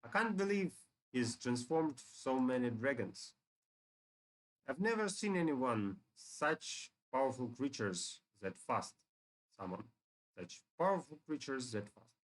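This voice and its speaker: Man playing righteous game dragon, earnest, solemn, slow, varied intonation. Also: medium pitch, animated, natural voice. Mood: alarmed, resolute. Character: righteous, indignant dragon hero of a fantasy game.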